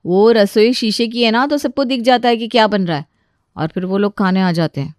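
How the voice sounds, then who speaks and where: Cartoon story daughter-in-law, young, dutiful, weary female narrator acts her, mid pitch. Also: a little fast, darkish tone, no echo, clean studio sound, natural voice. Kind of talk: children's cartoon story dialogue.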